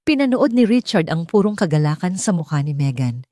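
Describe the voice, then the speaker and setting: A woman's voice: lowish voice, sing-song, fairly quick, warm tone, dry recording, studio quality. A woman with a Filipino accent reading a scripted romance story as a dramatic audiobook narrator.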